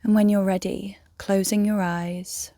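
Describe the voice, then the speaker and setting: A woman leading a guided meditation in a soft, breathy, near-whispered voice, gently and soothingly, with long pauses. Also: medium pitch, little pitch movement, no echo, clean studio sound. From England. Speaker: meditation guide, yoga teacher.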